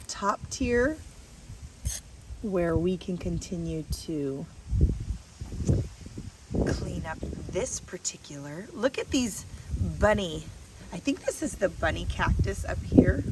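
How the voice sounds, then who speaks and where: Slow-talking woman, distant noisy recording. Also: lowish voice. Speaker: gardening vlog host. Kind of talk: casual chat while working. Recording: distant mic, noisy background.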